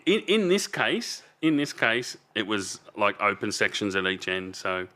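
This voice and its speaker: A loud Australian man in his fifties with a fairly low but bright, forceful voice. He tells stories casually and rambles, often trailing off mid-sentence and starting over. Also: sing-song, measured pace. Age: 50s. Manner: rambling, trails off and restarts a lot. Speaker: podcast guest. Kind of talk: casual storytelling chat.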